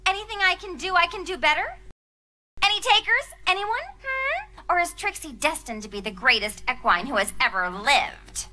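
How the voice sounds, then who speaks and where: A woman's voice: very high voice, expressive, normal speed, sharp tone, exaggerated voice. A woman voicing a boastful young female unicorn stage magician who grandly talks about herself in the third person, in a live cartoon script reading.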